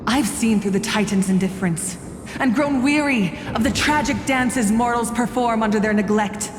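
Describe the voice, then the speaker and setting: A woman playing a proud, resolute exiled warrior in a video game, speaking in a formal, measured, declamatory way. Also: on the high side, background noise, close to an everyday voice. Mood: grave, compassionate. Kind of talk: scripted dramatic video game acting. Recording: echoey hall with long reverb, loud music.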